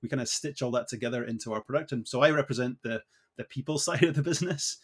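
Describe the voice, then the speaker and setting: A man's voice: mid-range voice, fast, husky. Scottish man, thoughtful middle-aged guest. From Scotland. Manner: thoughtful, with frequent fillers and restarts. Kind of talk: conversational interview answers.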